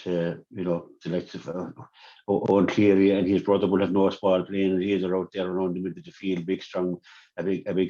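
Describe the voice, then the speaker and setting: Guy on a sports radio show, deep mellow voice, keeps restarting, dull lo-fi sound. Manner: halting, many repeated words and restarts. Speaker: sports analyst. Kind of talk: radio sports preview chat.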